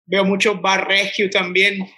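A man's voice: high voice, monotone, measured pace, sharp tone, slightly rough, rough recording. A man in his twenties with a Colombian accent, a restaurant owner answering interview questions in a rambling way, full of restarts. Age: 20s. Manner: rambling, many restarts and "o sea".

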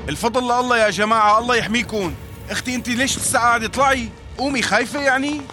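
An actor playing a determined rescuer from the Damascus countryside, speaking with a Syrian accent in an earnest, coaxing way with heavy sighs. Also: male voice, extremely high pitch, very fast, bright, forceful tone. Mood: urgent. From Madaya, Syria. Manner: earnest, coaxing, sighing heavily. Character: determined male rescuer, warm, protective, stubbornly hopeful. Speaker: civil defense rescuer. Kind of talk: radio drama acting. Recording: music bed behind the voice. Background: music.

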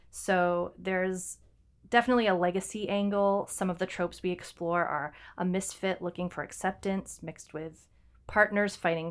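A woman's voice: on the low side, clear, resonant tone. A woman co-hosting a podcast, chatting casually with frequent ums and restarts. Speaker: podcast co-host. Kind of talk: casual chat.

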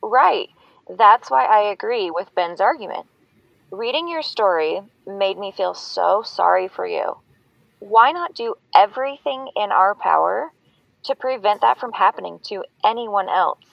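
A woman's voice: medium pitch, lively intonation, a little slow, creaky, no room sound. A woman playing a nervous, sincere fan calling in to a show in a fiction podcast, hesitant and earnest. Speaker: caller. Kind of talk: scripted fiction podcast acting. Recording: dry, dull call-in line.

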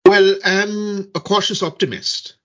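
A man from Sri Lanka giving measured, deliberate answers as a guest expert in a podcast interview, with frequent fillers and restarts. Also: high voice, fairly even intonation, a bit bright, dull audio. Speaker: guest expert on social media and peace building.

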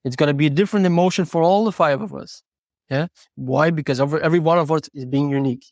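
A man's voice: a little high, fast, no room sound, studio quality. A man who is not a native English speaker, talking reflectively and earnestly as an interview guest, explaining ideas through personal stories and rhetorical questions. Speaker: horse trainer and podcast guest.

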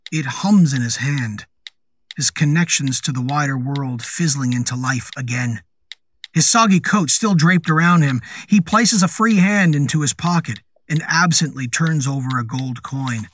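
A man narrating a scripted horror story in a dramatic, suspenseful way, with deliberate phrasing and pauses. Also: mid-range voice, a bit bright, breathy edge.

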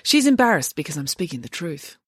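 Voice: medium pitch, sing-song, a little fast, fairly bright tone, husky, no room sound, clean studio sound, voice pushed up. A reader voicing a romantic, teasing schoolgirl friend who gushes.

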